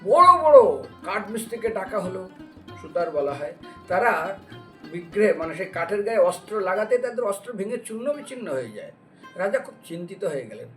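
Guy preacher telling holy stories, high voice, music playing behind him. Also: moderate pace. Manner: animated retelling with acted dialogue and questions to listeners. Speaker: religious preacher and storyteller. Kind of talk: devotional lecture told as storytelling. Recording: distant mic, music behind the voice.

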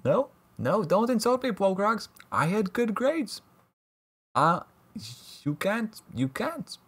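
A man's voice: high voice, sing-song, takes it slowly, fairly bright tone, husky. A man from Latvia casually chatting with viewers on a game livestream, joking and laughing as he protests their teasing. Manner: jokey, laughs often, mock-protesting at chat teasing. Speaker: livestream caster. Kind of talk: casual live-stream chat with viewers.

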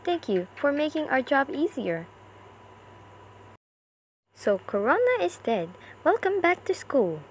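A woman's voice: sits high, big pitch swings, slow, pauses often, noisy background. A woman doing an amateur comic dub, acting out short character lines theatrically in switching voices.

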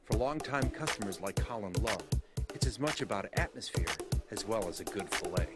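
A man's voice: on the low side, animated, brisk. A man narrating a scripted TV feature as a voice-over. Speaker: TV reporter. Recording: voice-over with loud music bed.

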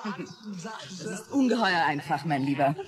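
A saucy, flirtatious barmaid with a very animated, mocking delivery. It is radio-play acting, with a busy crowd murmuring behind her. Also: lowish voice, a little slow. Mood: pert, flirtatious. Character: saucy, teasing barmaid holding out for marriage.

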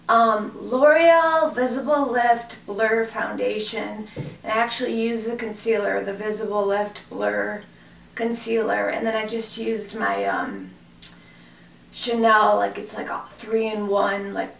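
A woman in her thirties, hoarse from a cold, chatting casually and gushing about clothes on camera in a small room, with lots of fillers. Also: mid-range voice, slight background noise. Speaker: fashion vlogger. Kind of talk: casual clothing haul chat. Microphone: camera mic from a few feet away. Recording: echoey room, mic at a distance.

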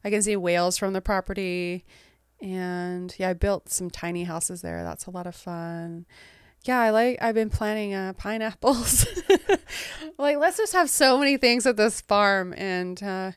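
A soft-spoken American woman in her thirties, a guest in a relaxed interview who laughs easily and lets her sentences trail off. Her voice is smooth and clear, at an ordinary pitch. It is recorded close and dry. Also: measured pace, no room sound. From England. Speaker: guest author. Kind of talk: casual interview chat.

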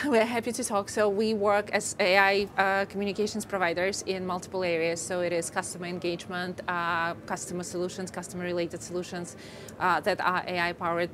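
A woman with a Ukrainian accent, a guest in a tech interview, explaining things earnestly in steady lists. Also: fairly low pitch, moderate pace, a little hiss or hum. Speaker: guest, company AI lead. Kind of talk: interview answers. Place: studio set on a stock exchange floor. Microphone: lapel mic.

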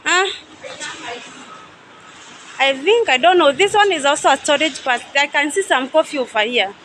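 A woman's voice: sits high, normal speed, fairly bright tone, a little hiss or hum. A young woman chatting casually as she walks through a coffee farm, thinking aloud and often unsure. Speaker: vlogger touring a coffee farm. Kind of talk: casual guided walk-through. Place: outdoors at a coffee farm and factory. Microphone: phone camera held by speaker.

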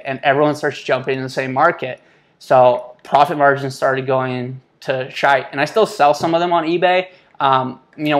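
A man in his twenties talking informally and with slang to his viewers, like a motivational entrepreneur vlogger. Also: medium pitch. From born in Israel. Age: 20s. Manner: informal and slangy, often addressing listeners as guys. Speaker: online entrepreneur, YouTuber and mentor. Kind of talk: casual motivational vlog talk to camera. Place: small home office, indoors. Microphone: lapel mic.

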